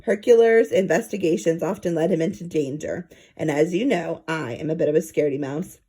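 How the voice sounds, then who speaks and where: A woman reading a children's story aloud in an expressive narrating style. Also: sits low, fairly quick, husky. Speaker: storybook reader.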